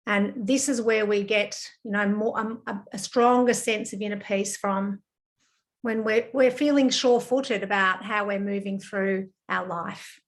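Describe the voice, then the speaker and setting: A woman with a croaky voice from a sinus problem, leading an online counselling group session in a gentle, reassuring way with many ums and restarts. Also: mid-range voice, steady tone, a little slow. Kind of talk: live video-call talk to a group. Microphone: computer mic on a video call.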